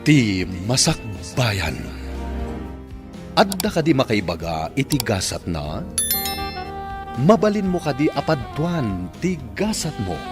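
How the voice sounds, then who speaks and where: A man with an Ilocano accent narrates a radio show's opening in a slow, solemn way. His pitch moves widely. A saxophone plays clearly behind him. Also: very animated, over music. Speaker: show intro narrator. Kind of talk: slow dramatic intro narration.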